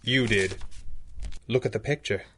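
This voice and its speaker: An actor playing a calm, dry-witted masked crimefighter in a radio drama, with a Canadian accent. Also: male voice, fairly low pitch, a little slow, breathy edge. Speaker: masked hero.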